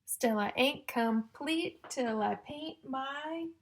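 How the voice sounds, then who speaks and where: A woman reading a picture book aloud to young children in a sing-song, exaggerated way, pausing to ask and explain like a teacher. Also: sits high. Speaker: children's story-time reader and teacher.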